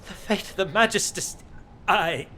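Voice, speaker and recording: An actor playing an intense, scheming alien scholar in a video game, speaking in a formal, deliberate, theatrical way. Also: male voice, high voice, pushed and bright, slight background noise. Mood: shocked, incredulous.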